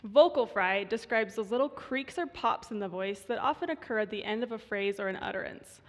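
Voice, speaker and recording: A woman in her twenties with vocal fry, a student voice researcher giving a prepared stage talk in measured tones. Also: medium pitch. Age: 20s. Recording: reverberant hall.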